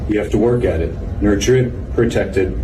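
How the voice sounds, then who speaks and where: A man giving a solemn, declamatory memorial address in slow, separated phrases. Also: fairly low pitch. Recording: echoey, distant mic, noisy ambience.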